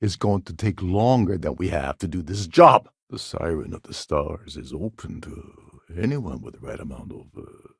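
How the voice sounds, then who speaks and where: A man playing a gruff, sardonic space pirate con man in a video game, with a gravelly, husky voice and a wry, scheming manner. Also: sits low, animated, moderate pace, dry recording, clean studio sound, heavily acted. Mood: irritated, sarcastic. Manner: wry, sarcastic, world-weary.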